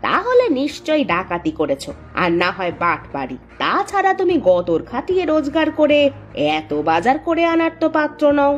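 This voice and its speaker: A woman voicing a suspicious village wife with a soft heart in a cartoon, in a dramatic manner. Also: high voice, big pitch swings, moderate pace, lo-fi sound, soft background music. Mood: scolding, suspicious. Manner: sharp-tongued, questioning, dramatic. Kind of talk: animated cartoon voice acting in Bengali moral story.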